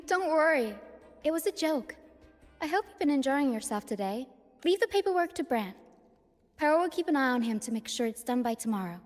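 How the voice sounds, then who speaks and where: High bubbly game crewmate, teasing, hall reverb, faint music. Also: female voice, lively intonation, fairly quick. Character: cheerful teasing young woman crewmate. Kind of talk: video game voice acting.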